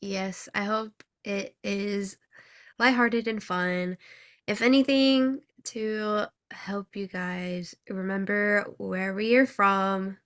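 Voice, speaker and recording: A woman's voice: medium pitch, steady tone, slow, a bit bright. A woman in her twenties, from Thailand, co-hosting a podcast with dry, teasing remarks. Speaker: podcast co-host. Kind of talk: casual chat.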